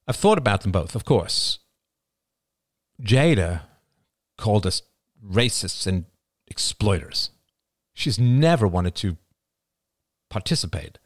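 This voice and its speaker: Man playing a measured, reluctant son. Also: lowish voice, no echo, clean studio sound, full character voice. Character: calm reasoning adult son, a leader. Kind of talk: audiobook character voice.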